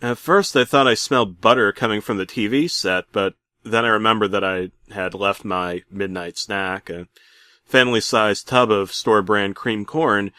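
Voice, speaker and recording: A man playing a bitter middle-aged working dad who narrates a comic horror story with theatrical, aggrieved deadpan. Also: on the low side, dry recording, clean studio sound. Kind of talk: comedic creepypasta narration.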